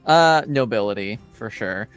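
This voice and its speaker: A man narrating a tabletop roleplaying game as game master, casual and halting as he searches for words. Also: fairly low pitch, animated, clear, resonant tone, soft background music.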